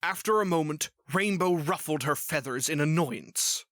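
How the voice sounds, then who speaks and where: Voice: medium pitch, expressive, moderate pace, a bit bright, no room sound, clean studio sound. A narrator reading a scripted fantasy story in a storybook style.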